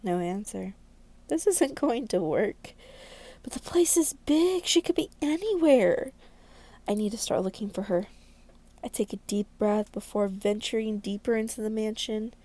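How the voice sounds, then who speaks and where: A woman's voice: mid-range voice, measured pace, dark tone. A woman reading a visual novel aloud as a game video creator, narrating dramatically and expressively with casual asides.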